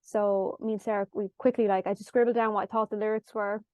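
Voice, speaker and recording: A woman from Galway with an Irish accent and a nasal, high-pitched voice. She chats casually as a musician guest, telling stories and acting out her own lines. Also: little pitch movement, fast, darkish tone, no echo. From Ireland. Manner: chatty storytelling, acts out her own past lines. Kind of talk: casual chat.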